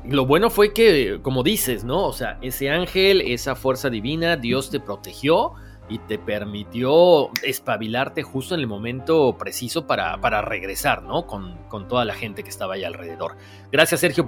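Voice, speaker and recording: Latin American man hosting over guitar music. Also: medium pitch. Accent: Latin American Spanish. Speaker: host of a paranormal stories show. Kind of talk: conversational hosting and dramatic reading of listener letters.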